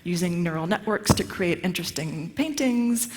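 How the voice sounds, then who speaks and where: A soft-spoken woman from the United States giving a chatty conference talk, with many fillers and restarts. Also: on the low side, animated, moderate pace. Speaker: conference speaker. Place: conference hall. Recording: long hall reverb.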